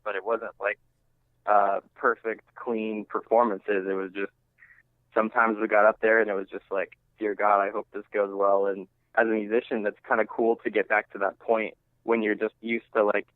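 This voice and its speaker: A man with a fairly low voice talks casually in an interview, heard through a narrow, phone-like line. His delivery is halting and conversational, peppered with "like" and "you know", and the sound is dry. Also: no echo. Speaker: interviewed musician.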